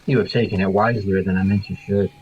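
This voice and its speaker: A man's voice: deep voice, steady tone, quick pace, slightly rough, lo-fi sound. A man playing a sneering, witty nobleman in a Shakespearean audio drama, with sardonic dry wit. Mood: sardonic mockery. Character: sneering, witty nobleman mocking his elders. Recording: faint noise, remote home recording.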